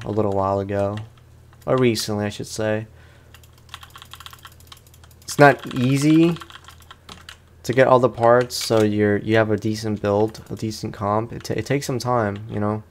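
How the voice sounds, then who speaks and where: A man's voice: fairly low pitch, slight background noise. A man giving casual let's play commentary, talking to himself and his opponent in slangy speech. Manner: slangy, talks to himself and to the game enemy. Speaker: gaming host, let's play streamer.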